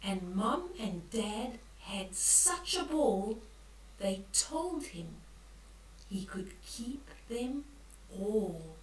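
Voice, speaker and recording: An older woman reading a bedtime story aloud to young children, expressively, with drawn-out emphasis and questions for the listeners. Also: mid-range voice, sing-song, slight background noise. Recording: echoey room, distant from the mic.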